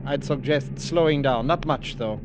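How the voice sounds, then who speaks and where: An actor playing a grim, sneering foreign spy on the run, who speaks in a cool, clipped, formal way in an old radio drama. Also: male voice, medium pitch, moderate pace, noisy background, close to an everyday voice. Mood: cool, guarded. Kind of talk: 1950s radio drama acting.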